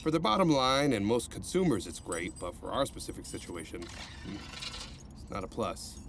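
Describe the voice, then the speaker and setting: An actor playing a cheerful male counselor who talks in an animated, rambling way. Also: lowish voice, normal speed, slight background noise. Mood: cheery. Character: cheerful male rehab counselor, upbeat and chatty.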